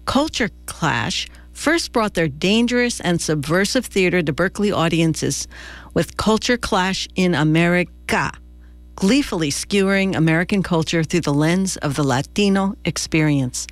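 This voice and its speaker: A woman's voice: on the low side, lively intonation, normal speed, no echo. A woman radio presenter reading a scripted event promotion.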